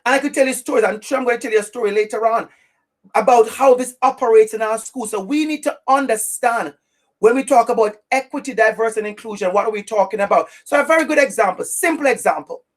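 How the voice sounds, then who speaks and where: Emphatic Jamaican woman giving a webinar. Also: fairly high pitch. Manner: emphatic, preacherly, with deliberate repetition. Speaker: equity trainer for teachers. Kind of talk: webinar presentation.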